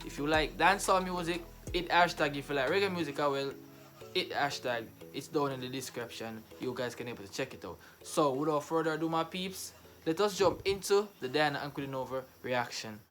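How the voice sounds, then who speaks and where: A man's voice: fairly high pitch, a little fast, a bit bright, soft background music. A man with a Jamaican accent hosting a casual reaction video, emphatic and exclamatory, often repeating his phrases.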